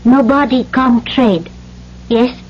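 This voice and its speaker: An actress playing a meek, devoted young island wife in an old radio drama, speaking simple, halting broken English. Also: female voice, fairly high pitch, steady tone, a little hiss or hum. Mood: meek, sad. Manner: simple halting pidgin phrasing. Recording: muffled old radio broadcast.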